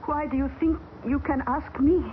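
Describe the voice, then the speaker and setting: An actress playing a stranded young woman in an old radio drama, quiet and earnest in slightly formal English. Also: high-pitched, fairly even intonation, normal speed, close to an everyday voice. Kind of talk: 1970s radio drama acting. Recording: tinny, hissy old radio broadcast.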